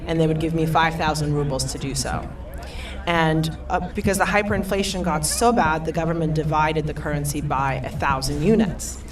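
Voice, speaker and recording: A woman in her thirties with a low voice shares how she came to her field, in an animated storyteller's manner. She sits back from the microphone, and a crowd can be heard in the room. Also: normal speed. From Eastern Europe. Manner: animated storyteller, laughs often. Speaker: panelist. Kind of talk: personal story on a panel. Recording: far from the mic, crowd behind.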